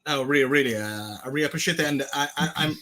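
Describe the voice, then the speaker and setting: Bright-voiced excitable Italian host. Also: male voice, fairly high pitch, quick pace. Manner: excitable, effusive, laughs easily. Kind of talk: casual enthusiastic chat. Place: private home studio.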